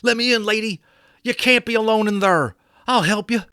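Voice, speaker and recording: A man voicing a rough, excitable grown cowboy who talks in folksy backcountry grammar. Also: high-pitched, moderate pace, no echo, studio quality, voice pushed up. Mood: urgent.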